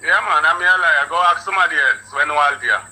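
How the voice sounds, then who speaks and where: A man's voice: fairly high pitch, fast, pushed and bright, poor audio. Indignant Jamaican man in his fifties. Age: 50s. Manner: indignant, swearing, dismissive. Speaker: prank call target. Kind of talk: phone conversation.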